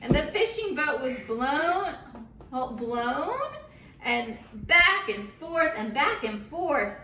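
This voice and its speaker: A woman with a fairly high voice tells a story to children in simple, encouraging language with dramatic emphasis. She is heard a little away from the mic in an echoey room, with faint noise. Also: expressive, slow, pushed and bright, far from the mic. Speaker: children's Bible story teacher. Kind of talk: animated storytelling and chat with children.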